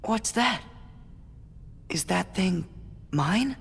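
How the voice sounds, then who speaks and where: A man's voice: high-pitched, lively intonation, very slow, vocal fry, a bit bright, slightly rough, big-room sound, exaggerated voice. A man playing an earnest, hot-headed teenage boy hero in a video game cutscene. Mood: puzzled. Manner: earnest, impulsive.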